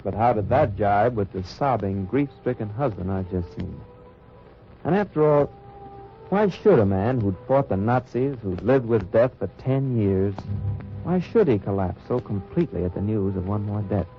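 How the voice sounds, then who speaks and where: An actor playing a dry, wry undercover agent who narrates in first person in a 1940s radio drama. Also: male voice, lowish voice, animated, moderate pace, faint music behind. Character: hard-bitten American undercover agent narrating. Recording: lo-fi old radio transcription.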